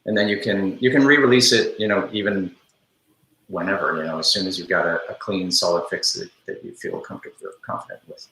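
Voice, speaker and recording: A man with a fairly low, forceful voice leads a casual team call. He lays out decisions plainly and then checks in with the others. The room around him adds a clear echo. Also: brisk. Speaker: team lead running a release call. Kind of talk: casual work discussion. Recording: echoey room on online call.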